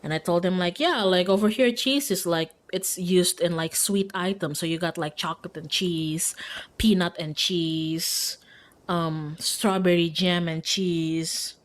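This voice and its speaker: A woman's voice: fairly low pitch, unhurried. A woman from Indonesia, chatting casually and informally as a livestreamer. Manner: informal, frequent "like", giggly. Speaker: streamer. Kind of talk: casual livestream chat while playing a visual novel.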